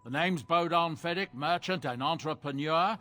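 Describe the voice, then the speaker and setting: An actor playing a genial middle-aged traveling merchant in a video game, chatty, polite and folksy. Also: male voice, on the high side, normal speed, pushed and bright, dry recording.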